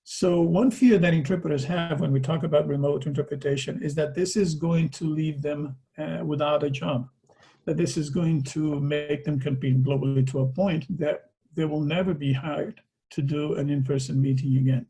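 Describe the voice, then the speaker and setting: A man's voice: on the high side, steady tone, normal speed, mellow, low-quality recording. A man with a Portuguese accent, a native Portuguese speaker, giving a conversational presentation over a video call and often ending his points with a tag question. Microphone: video call microphone.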